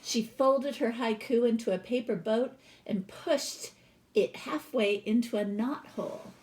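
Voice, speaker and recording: A woman's voice: on the high side, a little mellow. A woman who works as a teacher reads a children's story aloud expressively, with casual asides to explain the pictures. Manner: pauses to explain the pictures to listeners.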